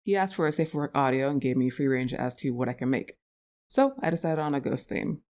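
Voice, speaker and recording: A woman's voice: rumbling low, animated, brisk, a little mellow. A woman who makes audio roleplays, talking casually and directly to her listeners in an outro. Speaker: audio roleplay creator and performer.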